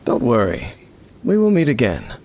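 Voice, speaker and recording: An actor playing a calm, mysterious wandering swordsman in a video game, speaking in a measured, formal, grave way. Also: medium pitch, gravelly, noisy background. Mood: solemn. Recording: game music loud behind.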